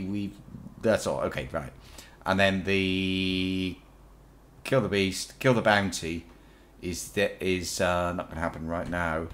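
A man hosting a game playthrough, a bit croaky from illness, rambling and chatty as he thinks aloud. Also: low-pitched, a bit bright. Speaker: let's play host. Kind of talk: casual gameplay commentary.

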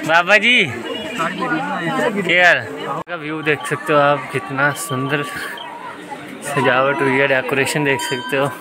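A man's voice: fairly high pitch, steady tone, slow, long pauses, over a noisy crowd. A young man in his twenties talking casually to viewers on a travel vlog, filmed on a phone held at arm's length outdoors. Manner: chatty, addresses viewers directly, joking asides. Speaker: vlogger. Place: outdoors on a hill road and temple grounds. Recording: loud wind and road noise.

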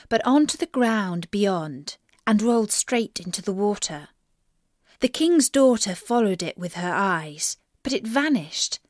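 A woman reading a fairy tale aloud to children in an expressive, deliberate storytelling manner. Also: medium pitch, no echo, studio quality.

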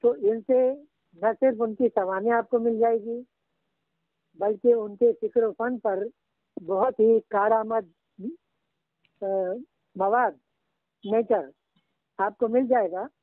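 An older man teaching Urdu literature, slowly and patiently dictating references to a student. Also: high voice, steady tone, dry recording. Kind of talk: guiding a student over the phone. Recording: tinny phone line.

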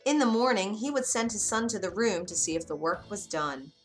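A woman narrating a children's bedtime story in an expressive, gentle way. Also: medium pitch, a little fast. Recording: soft music bed behind.

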